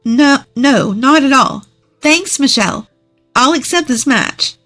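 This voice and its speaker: A woman's voice: high-pitched, animated, slow, pushed and bright, soft background music, pitched above the performer's own voice, exaggerated voice. A woman voicing a meek, bookish younger sister, polite and reserved. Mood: flustered, agreeable.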